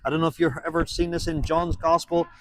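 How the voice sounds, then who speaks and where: A man's voice: on the high side, little pitch movement, fast, a bit bright, rough recording. A man preaching to passers-by on a street, earnest and pressing them with questions. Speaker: street evangelist. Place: outdoors on a town street.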